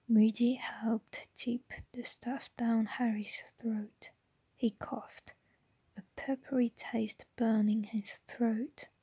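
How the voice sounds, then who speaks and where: A woman narrating a storybook in a soft, unvoiced ASMR whisper. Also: fairly high pitch, level tone, slow, slightly rough, dry recording, highs cut off. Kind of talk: ASMR audiobook reading.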